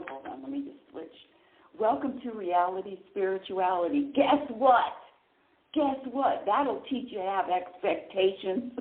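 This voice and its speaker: A woman's voice: lowish voice, gravelly. A woman hosting a live call-in spirituality radio show, chatting casually and rambling with frequent restarts. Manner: chatty, rambling, restarts often, laughs easily. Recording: echoey, tinny phone-line sound.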